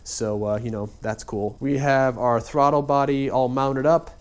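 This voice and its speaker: A man's voice: medium pitch, fairly even intonation, a little slow, clean tone. A man with a congested, slightly nasal voice talks casually through his car build while he works, heard through a head-mounted camera mic in a garage. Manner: laid-back, rambling, filler-heavy. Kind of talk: casual how-to narration while working. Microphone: head-mounted action camera.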